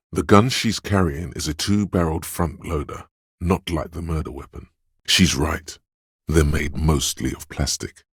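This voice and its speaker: Very deep, husky inner voice. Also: male voice, no echo, studio quality, full character voice. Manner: wry, observant, dryly teasing. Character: inner perceptive voice, observant adult male. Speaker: voice actor. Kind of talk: second-person game narration.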